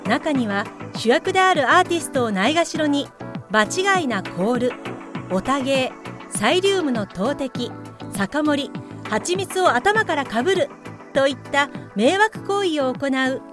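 Woman as radio drama narrator, high voice, composed n formal, explaining stuff over music. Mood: matter-of-fact reading. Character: composed, matter-of-fact female narrator.

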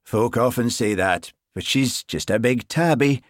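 A man voicing an affable, folksy police sergeant with a Scottish Highland accent, in an audiobook. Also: measured pace, no room sound, clean studio sound, lightly acted. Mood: wry. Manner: informal, folksy. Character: lanky Highland police sergeant, affable, easily flustered, sly.

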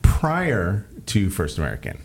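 A man's voice: sits low, wildly swooping pitch, measured pace, creaky, darkish tone, soft background music. A man hosting a casual conversational interview, speaking informally and circling his way around questions. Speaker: interviewer and host.

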